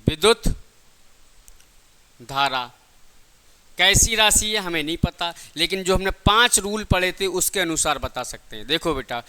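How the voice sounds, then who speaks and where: A male physics teacher gives an exam-prep lesson in a very bright, crisp voice. He explains step by step with plenty of repetition. He poses short questions and then answers them himself. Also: sits high.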